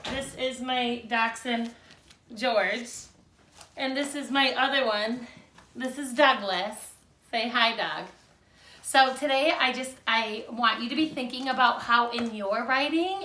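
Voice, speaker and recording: Female primary teacher, highish bright voice, animated read-aloud style with asides to pupils; distant mic in an echoey room. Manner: slow, animated storybook delivery with teaching asides. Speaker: second grade teacher. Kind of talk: expressive read-aloud lesson for young children. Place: indoors at home. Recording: roomy echo, distant microphone.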